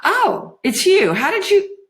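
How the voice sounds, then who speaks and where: A woman's voice: mid-range voice, big pitch swings, normal speed, fairly bright tone. A woman voicing a flighty, sulky teenage girl in a storybook, chatty and slangy, gushing one moment and petulant the next. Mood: dazzled.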